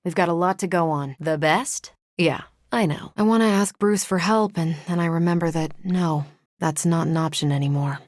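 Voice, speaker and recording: A woman's voice: lowish voice, normal speed, no room sound, clean studio sound, natural voice. A young woman with an American accent playing a confident, wisecracking superhero in a video game, wry and punchy. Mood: confident banter. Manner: wry, punchy, self-assured. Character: confident, wisecracking, battle-ready female superhero. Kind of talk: video game character voice lines.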